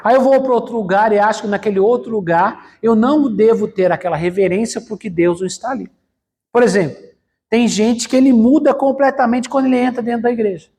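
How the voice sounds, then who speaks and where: A man's voice: sits high, fairly quick, darkish tone. A man preaching a conversational sermon as a pastor in a church, explaining with frequent fillers and rhetorical questions.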